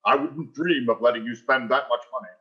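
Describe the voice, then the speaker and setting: A man's voice: medium pitch, a little fast, dull audio, lightly acted. An actor playing a pompous, greedy male school principal in 1940s radio sitcom style, florid and insinuating with false magnanimity. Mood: slyly wheedling.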